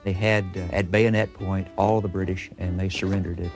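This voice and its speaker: An American man speaking as a history expert in a documentary interview, emphatic and deliberate in his phrasing. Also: sits low, level tone, music in the background.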